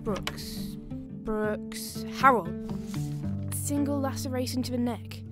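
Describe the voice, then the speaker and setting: A woman's voice: on the high side, slow. A woman playing a determined, grieving widow turned amateur sleuth in a radio drama, speaking theatrically. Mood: secretive, intent. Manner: theatrical, talking to herself while snooping. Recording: loud guitar music underneath.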